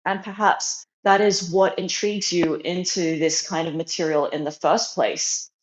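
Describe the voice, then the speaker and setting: A woman's voice: on the low side, fairly even intonation, a little slow. A young adult woman from Australia, born in China, introducing herself as an interview guest in an earnest, reflective way. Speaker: guest, psychology doctoral student. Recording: roomy, dull lo-fi online audio.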